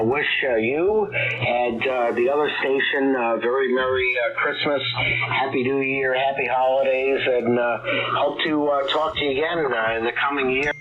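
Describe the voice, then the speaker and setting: Narrow crackly-band ham radio, guy chatting kinda halting, voices clearly in the background. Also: mid-range voice. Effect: radio transmission. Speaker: amateur radio operator. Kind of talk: casual ham radio chat. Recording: narrow tinny radio link, background babble.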